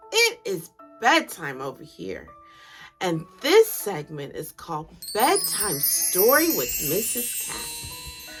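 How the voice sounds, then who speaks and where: A woman in her thirties hosting bedtime stories for children, with exaggerated, deliberate phrasing as she reads to young listeners. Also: medium pitch, wildly swooping pitch, fairly bright tone. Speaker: host of a children's bedtime story series. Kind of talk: children's read-aloud, storytelling to young listeners. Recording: music behind the voice. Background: music.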